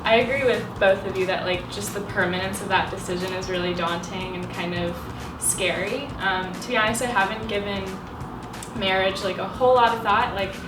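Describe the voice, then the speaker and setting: A woman's voice: medium pitch, fairly even intonation, normal speed. A nineteen-year-old woman chatting thoughtfully on a studio sofa, saying like often. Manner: thoughtful, uses like a lot. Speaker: panel guest. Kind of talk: candid casual chat. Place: studio set with sofa, indoors. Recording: roomy, distant, music bed.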